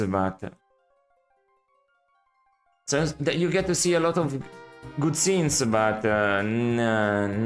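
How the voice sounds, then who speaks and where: A man streaming a retro game, chatting casually and musing aloud, often correcting himself and adding critical asides. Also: mid-range voice.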